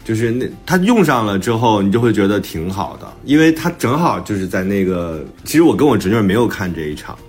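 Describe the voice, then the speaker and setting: A man's voice: fairly low pitch, very animated, fairly quick, hardly any pauses, a little mellow, breathy edge, soft background music. A man speaking casually, as a guest author talking on a show. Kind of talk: casual chat.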